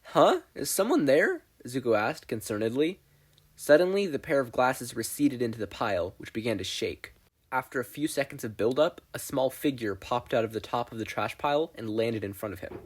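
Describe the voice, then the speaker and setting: A man reading fiction aloud as a narrator, with an expressive, scripted storytelling delivery. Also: medium pitch, brisk, slightly rough. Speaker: audiobook narrator.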